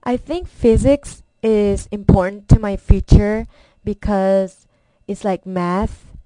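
A young college student from Korea, speaking English with a strong Korean accent. Her voice is mellow and smooth, and it sounds close and dry. She reads carefully and sometimes restarts a word. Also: mid-range voice, fairly even intonation, slow, dry recording, low-quality recording. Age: young adult. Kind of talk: read personal introduction.

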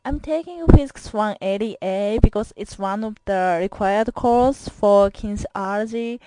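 A young woman with a Japanese accent gives a self-introduction for her class, her English careful and simple. Her voice is soft and mellow. The recording is dry and close, with no room sound. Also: mid-range voice, no room sound, low-quality recording. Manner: careful, earnest, with simple phrasing and small grammar slips. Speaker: college student.

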